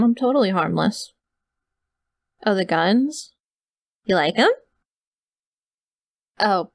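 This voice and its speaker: Woman voicing adorkable yandere girl, young adult, mid-range pitch, chatty, flustered denials, obsessive sweetness, ASMR roleplay, very dry close mic. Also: no echo. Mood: chatty, defensive. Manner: chatty, rambling, flustered self-denials, hesitant filler words. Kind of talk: ASMR roleplay, one-sided comedic monologue to the listener. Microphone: close studio mic, dry.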